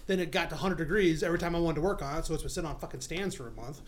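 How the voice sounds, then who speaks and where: A man's voice: on the high side, very fast, a crowd in the background. A man co-hosting a casual podcast, teasing the others sarcastically and swearing. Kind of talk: casual banter.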